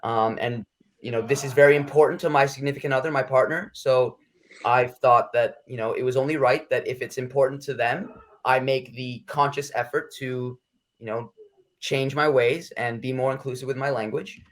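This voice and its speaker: A man talks through his interview answers in a loose, rambling way. He hedges and restarts often, filling gaps as he goes. His pitch sits in an ordinary middle range for a man. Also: fairly even intonation, normal speed. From United States. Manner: rambling, self-conscious, hedging with fillers. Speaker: interview guest. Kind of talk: casual interview chat.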